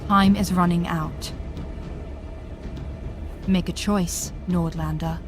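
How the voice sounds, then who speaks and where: A woman's voice: on the low side, steady tone, slow, music in the background. A woman narrating a scripted fantasy audio adventure in a grave, dramatic storytelling manner.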